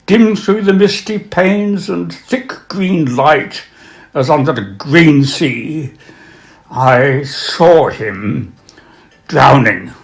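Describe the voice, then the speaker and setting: A man presenting and reading literature aloud, with a measured, dramatic delivery when reciting. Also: a little high, expressive, gravelly. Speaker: reader and presenter of poems and prose. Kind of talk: literary recital with spoken introductions.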